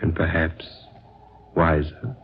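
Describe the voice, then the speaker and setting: Wise, mysterious older spiritual guide: low, solemn, fatherly, 1940s radio drama, dulled old recording. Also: slightly rough, exaggerated voice. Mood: calm, cryptic. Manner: solemn, measured, gently questioning, cryptic. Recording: muffled, noisy vintage radio recording.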